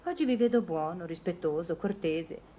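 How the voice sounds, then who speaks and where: An actress playing a poised, independent young lady in a vintage Italian radio comedy, polite, brisk and articulate. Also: fairly low pitch, lively intonation, mellow. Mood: frank, playful.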